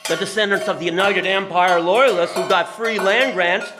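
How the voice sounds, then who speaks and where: A man's voice: high voice, steady tone, moderate pace, natural voice. An actor playing a rowdy, cheeky male wedding crasher in a historical audio drama, with a brash, taunting, folksy manner. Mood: brash, scornful. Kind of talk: historical radio drama acting. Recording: echoey, music and clanging pots behind.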